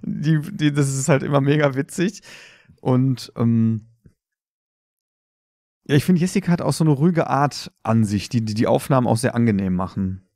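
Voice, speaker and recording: A man's voice: mid-range voice, moderate pace, a little mellow, dry recording, studio quality. A man co-hosting a podcast, chatting in a casual, informal way. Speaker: podcast co-host.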